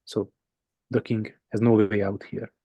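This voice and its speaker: A man's voice: fairly low pitch, level tone, a little slow, warm tone, no echo. A man with a Hungarian accent, a native Hungarian speaker, patiently explaining game puzzles informally and rephrasing as he goes. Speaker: game teacher and presenter.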